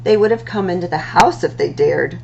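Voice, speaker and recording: A woman reading rhyming picture books aloud as a storytime host, in an expressive, singsong delivery with drawn-out words. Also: lowish voice, vocal fry, highs cut off, a little hiss or hum.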